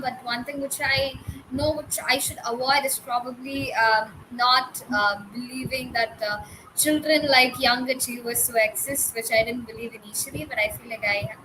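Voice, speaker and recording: A young woman, a student, answering hesitantly in an unscripted panel discussion over a faint noisy background. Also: sits high. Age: teens or young adult student.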